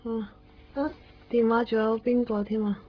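A young woman playing a mysterious, hesitant and excitable phone cleaner in a radio drama, with a Hong Kong Cantonese accent. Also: a little high, normal speed, natural voice. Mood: polite, hesitant. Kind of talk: 1990s radio drama acting. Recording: dull lo-fi radio recording, faint music.

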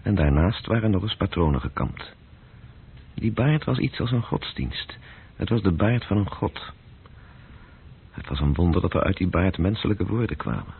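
An actor as the grave narrator of a radio play, reading scripted literary prose. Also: male voice, very animated, moderate pace, pauses often, exaggerated voice. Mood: measured, intent. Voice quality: very husky, deep. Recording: dull, lo-fi old radio recording.

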